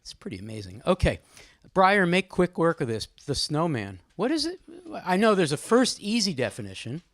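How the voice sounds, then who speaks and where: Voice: mid-range voice, sing-song, moderate pace, dry recording. Informal, teasing event host, dry close sound. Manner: teasing, conversational, calling on people by name. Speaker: host leading a group poem discussion. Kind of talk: informal live moderating, with some poetry reading. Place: indoor room at a live gathering.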